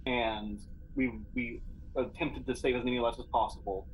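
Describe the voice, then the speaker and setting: A man speaks in a middling pitch as he plays a tabletop game. His talk is casual and conversational. There is light noise in the recording. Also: steady tone, moderate pace, rough recording.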